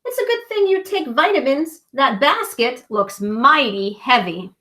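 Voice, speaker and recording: A woman's voice: high-pitched, expressive, measured pace, pushed and bright, heavily acted. A woman reading a storybook, voicing a brave, friendly male bear with a hearty, reassuring manner. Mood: cheerful.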